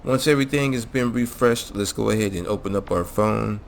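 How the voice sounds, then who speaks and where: A man's voice: on the low side, brisk, gravelly, slight background noise. A man hosting a casual, slangy video game mod tutorial in an informal, laid-back way. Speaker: gaming channel host. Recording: music behind the voice. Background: music.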